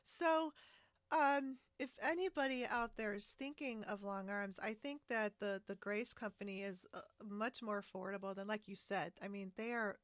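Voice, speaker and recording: A woman's voice: medium pitch, steady tone, moderate pace, no room sound. American woman hosting, dry close sound. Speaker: podcast host. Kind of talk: casual interview chat about a hobby. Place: remote split-screen video call.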